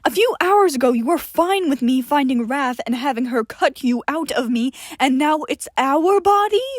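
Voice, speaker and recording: Casting: foul-tempered immortal man for audio fiction female performer, sardonic and blunt, raised pitch. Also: animated, moderate pace, no room sound, studio quality. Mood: exasperated. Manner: blunt, sarcastic.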